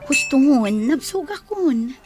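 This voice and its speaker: A woman's voice: sits high, animated, slow, over music. A woman with a Filipino accent playing a sweet, anxious young nurse and girlfriend in a radio drama.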